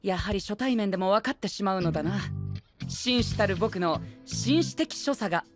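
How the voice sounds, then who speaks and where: A male actor playing a pompous, rule-obsessed young gentleman knight in an anime audio drama, speaking formally and peppering his lines with English exclamations. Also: high voice, animated, normal speed. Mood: proud, formal. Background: background music.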